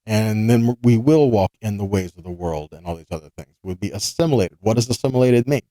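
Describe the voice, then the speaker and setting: A man's voice: fairly low pitch, expressive, fairly quick, warm tone, no echo. A man giving a sermon-style scripture teaching, explaining step by step and posing rhetorical questions to his listeners. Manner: didactic, asking rhetorical questions and checking in with "right?".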